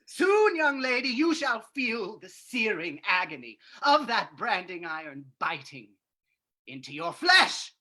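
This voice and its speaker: Woman playing a mad gloating villain, swooping and husky. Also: fairly high pitch, big pitch swings, bright, forceful tone, heavily acted. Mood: gloating menace. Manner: theatrical, menacing, drawn-out delivery. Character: mad vengeful male villain, gloating and murderous. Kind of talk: old-time radio drama reading.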